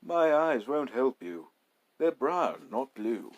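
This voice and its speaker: Man acting a higher-pitched male traveler in a story. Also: sing-song, slow. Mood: pleading. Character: frightened male traveler bargaining with a robber.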